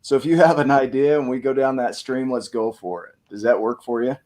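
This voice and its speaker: A man's voice: mid-range voice, fairly even intonation, a little fast, a little mellow. A man hosting a casual interview show, easygoing and upbeat, rambling a little as he leads in. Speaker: host.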